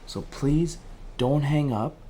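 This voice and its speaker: A man's voice: a little high, slow, warm tone, slight background noise. A man playing an earnest, empathetic crisis helpline operator in an audio drama, reassuring and imploring in short, repeated pleas. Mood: pleading.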